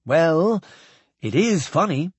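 A man voices a playful alien mimicking a young human officer. It sounds breezy, teasing and curious, with animated pitch and a husky tone in a dry recording. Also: slow, dry recording, heavily acted.

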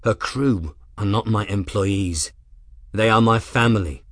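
A man voicing a calm, steely male airship captain who speaks quietly and levelly. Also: on the low side, moderate pace, dry recording, studio quality, close to an everyday voice. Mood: cold calm.

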